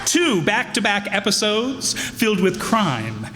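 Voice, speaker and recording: A man's voice: sits high, very animated, takes it slowly. A man hosting a live radio play evening, introducing it in a folksy, jokey way. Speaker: announcer and host. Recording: reverberant hall, faint background noise.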